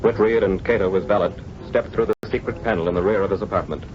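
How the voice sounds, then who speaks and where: A man's voice: deep voice, fairly even intonation, fast talker, loud music behind the voice, lightly acted. A man with an American accent giving dramatic scripted narration as an old-time radio serial announcer. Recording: muffled old radio recording, faint noise.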